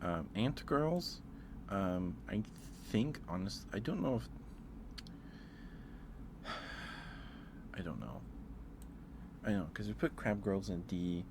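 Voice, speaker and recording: A man's voice: sits low, sing-song, takes it slowly, long pauses, noisy background. A man streaming casual ASMR chat, thinking aloud in a hesitant, informal way.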